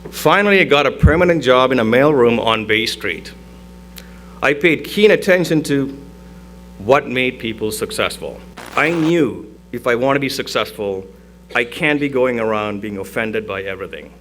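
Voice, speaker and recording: A man speaks in a played clip, recalling his early working life in an earnest, reflective tone. His pitch sits in the middle range, with a faint hum behind him. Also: lively intonation, moderate pace, fairly bright tone, low-quality recording. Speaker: interview guest. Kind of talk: personal recollection in a played clip.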